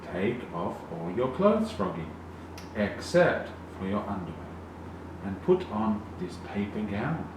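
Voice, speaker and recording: A man voicing a brisk, stern female animal doctor in a storybook, giving commands and instructions. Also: on the low side, big pitch swings. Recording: very echoey, distant, noisy room.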